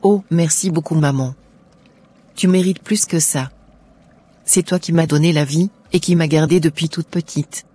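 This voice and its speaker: Woman acting a husky, devout young daughter. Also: low-pitched, fairly even intonation, moderate pace, warm tone. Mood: grateful. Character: devout, anxious 27-year-old unmarried daughter. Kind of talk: scripted cartoon dialogue.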